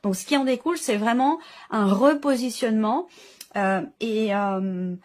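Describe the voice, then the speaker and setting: Woman doing a tarot reading, voice a bit high, searches for her words and piles up rephrasings. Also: measured pace, darkish tone. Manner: hesitant, searching phrasing with repeated enumerations. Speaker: tarot card reader and channel host. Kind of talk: intuitive tarot reading, improvised spoken commentary.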